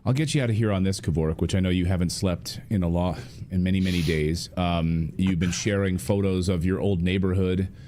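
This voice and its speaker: A middle-aged man hosting a remote interview, asking questions in a calm, sympathetic, conversational way on a studio mic. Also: sits low, brisk, few pauses, a little hiss or hum. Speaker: show host. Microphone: studio mic, close framing.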